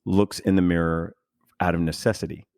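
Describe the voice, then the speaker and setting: A man's voice: low voice, fairly even intonation, brisk, darkish tone, no room sound, studio quality. A man hosting a podcast in casual, reflective conversation, speaking earnestly and emphatically as he searches for his words. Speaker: podcast host.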